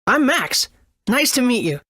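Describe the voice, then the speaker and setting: High-pitched earnest boy hero. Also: big pitch swings, measured pace, pushed and bright, husky, no room sound, studio quality, heavily acted. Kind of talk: video game character acting.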